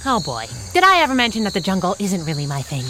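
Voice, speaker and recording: An actress playing a plucky, wisecracking young crime-fighting sidekick in a pulp radio adventure, with a sardonic, snappy delivery. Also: female voice, mid-range voice, wildly swooping pitch, quick pace, background noise. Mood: wry, weary.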